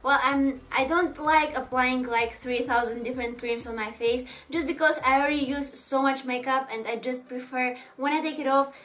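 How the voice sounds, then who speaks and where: High-voiced Bulgarian woman chatting casually. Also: fairly bright tone. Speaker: makeup vlogger answering viewer questions. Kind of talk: casual question-and-answer chat to camera.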